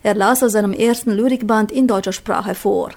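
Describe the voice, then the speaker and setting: A woman narrating a scripted radio news report in an even, measured read. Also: medium pitch, vocal fry.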